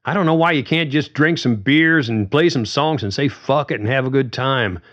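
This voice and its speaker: A man's voice: medium pitch, animated, unhurried, voice pushed up. A man voicing a blunt, carefree male bandmate who drinks and swears freely, in a needling, riled-up way. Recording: dull lo-fi recording.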